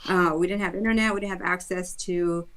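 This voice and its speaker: A woman in her thirties from Iraq, a guest on an interview, telling her life story in an earnest, animated way. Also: lowish voice, fairly quick. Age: 30s. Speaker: guest, school board candidate.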